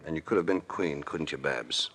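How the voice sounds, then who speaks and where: An actor playing a shrewd, calm, world-weary investigator with an American accent and a cool, deliberate delivery in an old radio drama. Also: male voice, a bass voice. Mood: weary accusing. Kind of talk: 1940s-50s radio drama acting. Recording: vintage radio recording.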